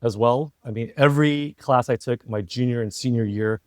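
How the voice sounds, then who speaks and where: A man's voice: medium pitch, normal speed, no echo, clean studio sound. A middle-aged American man chatting reflectively as an interview guest, talkative and often checking for agreement as he goes. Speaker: interview guest, entrepreneur and former CEO. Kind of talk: casual conversational interview.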